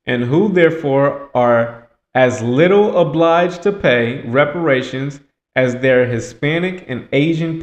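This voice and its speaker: A man giving political commentary in an emphatic, rhetorical way, repeating phrases for effect. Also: medium pitch. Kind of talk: political commentary mixed with reading aloud. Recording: echoey, roomy sound.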